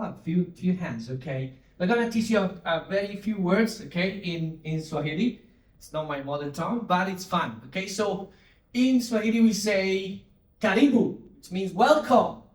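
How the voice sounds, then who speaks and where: A man's voice: high voice, normal speed, a little mellow. Man giving a keynote in an echoey hall. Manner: engaging, asks audience to respond, many fillers and restarts. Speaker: conference speaker, company CTO. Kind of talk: conference keynote talk. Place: large conference hall. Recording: echoey hall, distant mic.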